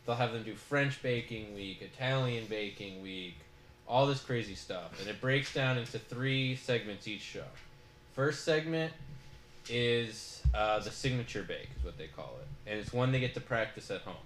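A man's voice: mid-range voice, moderate pace, a bit bright, roomy sound, slight background noise. A man hosting a podcast, talking through a show review in a casual, rambling way full of fillers. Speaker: podcast host.

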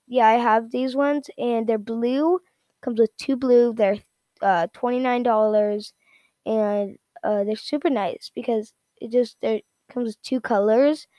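A woman with a fairly high voice talks through products off the cuff. Her delivery is informal and halting, and she often repeats herself. The sound is close and dry. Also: mellow, no room sound. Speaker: product reviewer. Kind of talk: casual, unscripted product rundown.

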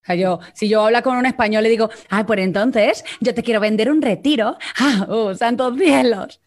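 Venezuelan woman, vocal coach, bright conversational teacher, higher voice. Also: normal speed. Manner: explanatory, animated, checks in with "¿ok?". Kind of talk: casual teaching chat on a live stream.

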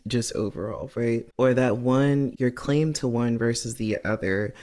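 This is a man at a typical male pitch, co-hosting a podcast. He talks through his ideas earnestly and loosely, with frequent "like" fillers and self-directed questions. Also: a little slow, darkish tone. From United States. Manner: earnest, rambling, heavy "like" fillers, asks himself questions. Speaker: podcast co-host. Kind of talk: casual reflective chat.

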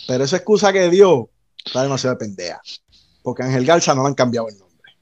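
A man's voice: mid-range voice, lively intonation, fast talker, a little mellow, dry recording, lo-fi sound. A man, co-hosting a casual wrestling chat and bluntly repeating his point. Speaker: wrestling podcast co-host.

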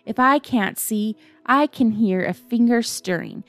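A woman's voice: fairly high pitch, measured pace, natural voice. A woman voicing a cruel, sly blind old beggar man in a storybook, moving between sing-song pleading and cold command. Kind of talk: storybook character voice.

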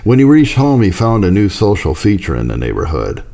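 A man reading a historical religious account as a scripted narration, in a measured, formal manner. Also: lowish voice, wildly swooping pitch, creaky, mellow.

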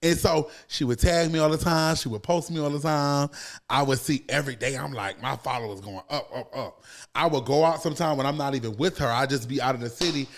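An American man speaks as a guest in a casual interview. He tells stories in an emphatic, profane way, often repeating a phrase to drive it home. His pitch sits in a middle range for a man. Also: fairly quick. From United States, born in New York, raised in Savannah, Georgia. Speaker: podcast guest, comedian and motivational speaker.

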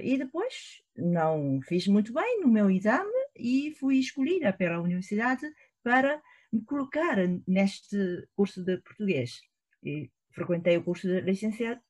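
A woman's voice: mid-range voice, darkish tone. A middle-aged woman from China, a professor, speaking Portuguese with a Chinese accent. Her first language is Chinese, and she answers thoughtfully, with frequent hesitations. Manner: thoughtful, hesitant, with many fillers. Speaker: professor, guest. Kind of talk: interview answers. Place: at home in Shanghai, remote call.

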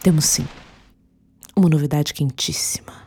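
A woman acting in a Brazilian radio drama as a mysterious spirit of the world's edge, hushed and husky, with a Brazilian accent. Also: low voice, moderate pace, whispered. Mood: ominous, hushed. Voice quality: husky, breathy.

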